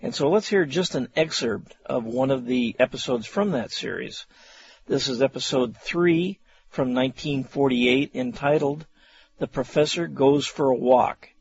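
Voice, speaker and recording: A man's voice: mid-range voice, a little slow. A man hosting a program of old radio, introducing material to listeners in an informal, talking style. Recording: slightly dull, muffled audio.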